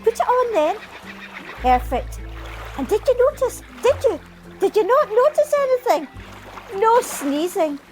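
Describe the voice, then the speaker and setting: A woman playing an excitable, chatty Scottish garland seller in an amateur radio play. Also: very high voice.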